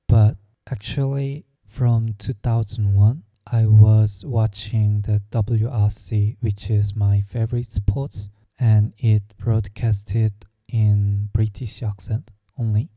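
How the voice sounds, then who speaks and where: A young man from Korea with a Korean accent, telling his own story slowly and hesitantly, searching for words. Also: lowish voice, flat delivery, mellow, dry recording. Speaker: vlogger. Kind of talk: personal storytelling.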